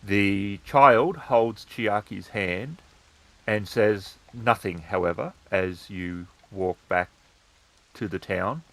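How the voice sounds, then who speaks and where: Slow, low-voiced male storyteller. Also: creaky, fairly bright tone, low-quality recording. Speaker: game master. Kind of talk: narrating a tabletop roleplaying game.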